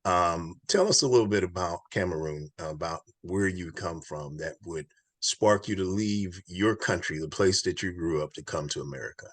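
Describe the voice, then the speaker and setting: Low-voiced guy hosting a faith podcast, uplifting, kinda preachy, dry sound. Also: moderate pace, no room sound. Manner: earnest, encouraging, preacher-like. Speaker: podcast host. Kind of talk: inspirational podcast talk.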